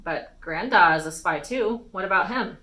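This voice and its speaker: Storybook teen noble girl played by a woman reader: headstrong, argumentative, insistent, bright and forceful. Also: on the low side, animated, normal speed. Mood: challenging. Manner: insistent, protesting.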